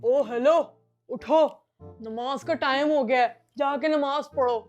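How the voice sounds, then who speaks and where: A woman's voice: high-pitched, animated, dull audio, soft background music. A woman playing a naive, bumbling young apprentice devil in a comic skit, earnest and eager to please. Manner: obedient, slow-witted, earnest. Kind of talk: comic family skit acting.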